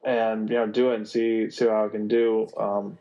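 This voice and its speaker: Young man, halting, dull lo-fi sound. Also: fairly low pitch, level tone, mellow. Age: 20s. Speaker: guest racer. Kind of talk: interview answers.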